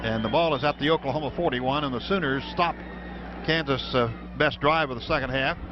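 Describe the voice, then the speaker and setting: An American man calls a football game live on the radio, naming formations and yardage as the play unfolds. His voice sits at an ordinary male pitch. The broadcast sound is dull, with clear noise behind him. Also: brisk. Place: stadium radio booth.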